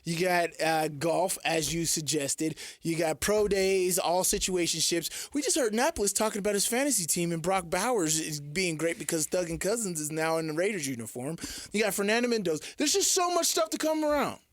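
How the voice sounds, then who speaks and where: Animated, higher-pitched male sports co-host. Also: fairly quick, dry recording. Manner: teasing, playful contrarian. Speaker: sports radio co-host, former pro football player. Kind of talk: casual sports banter.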